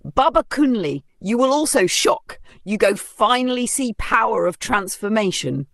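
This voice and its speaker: A vain, theatrical young woman from a comic folktale, acted out by a female storyteller. She speaks high, boastful and pleading, in a dry recording. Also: sing-song, measured pace, fairly bright tone, no room sound, full character voice. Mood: boastful excitement. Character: vain, dramatic young woman craving online glory.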